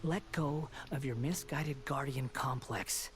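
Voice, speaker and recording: A man's voice: medium pitch, slow pace, a little hiss or hum, close to an everyday voice. An actor playing an arrogant young man who believes he is a god, haughty and taunting in a theatrical video game style. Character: arrogant young male would-be god, mocking and grandiose. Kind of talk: video game dialogue acting.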